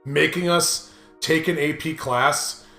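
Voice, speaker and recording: A man's voice: fairly high pitch, steady tone, slow, creaky, bright, forceful tone. A young American man hosting a gaming video, chatting casually and rambling through anecdotes in a crude, joking way. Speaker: host of a gaming video series. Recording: roomy echo, music underneath.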